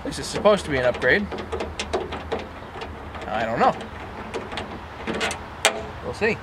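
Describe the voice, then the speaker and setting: Brief: male DIY vlogger, slightly high voice, muttering through a hands-on job outdoors, heavy background noise. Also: very animated, slow. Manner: chatty, mutters step by step. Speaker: DIY sawmill owner. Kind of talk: casual how-to narration while working. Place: outdoors at a sawmill.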